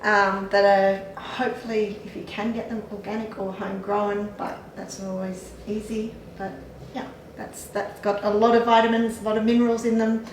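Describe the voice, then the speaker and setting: A woman's voice: mid-range voice, level tone, normal speed, hardly any pauses, background noise. A woman explaining vitamins and herbs in a casual chat, with lots of fillers and small laughs. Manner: chatty, explanatory, with frequent fillers and light laughs. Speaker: clinic staff giving supplement advice. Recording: echoey room, mic at a distance.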